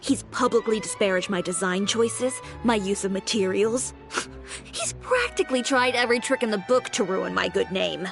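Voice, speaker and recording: A woman voicing a poised, composed fashion designer who speaks in a measured, cool manner, in a video game. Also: medium pitch, expressive, gravelly. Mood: firm. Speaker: boutique owner character. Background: game music.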